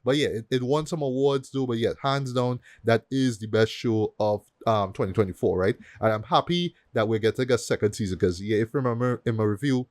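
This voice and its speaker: A man's voice: mid-range voice, a little fast, hardly any pauses, darkish tone, no echo. Man chatting casually in a dry close sound. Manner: conversational, repeatedly tags sentences with "though" and "right". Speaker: podcast host. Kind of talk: casual review chat.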